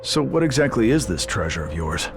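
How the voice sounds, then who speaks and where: Low, stoic game hero. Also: male voice, big pitch swings, fairly quick, creaky, music in the background. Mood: calm, terse. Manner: laconic, matter-of-fact. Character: stoic, terse, battle-hardened rabbit hero. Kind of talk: video game cutscene acting.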